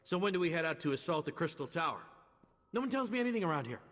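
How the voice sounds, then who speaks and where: An actor playing a chatty, wry, loyal adventurer man in a video game, with a husky voice and a casual, expressive manner. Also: a little high, very fast. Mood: grumbling. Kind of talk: video game character dialogue. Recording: long reverberant hall echo.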